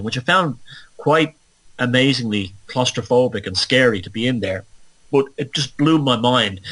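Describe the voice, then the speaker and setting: A man's voice: medium pitch, a bit bright, rough recording. A man from Ireland with an Irish accent, hosting a podcast and talking through a digressive solo monologue, often correcting himself. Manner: musing, digressive, self-correcting. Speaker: podcast host.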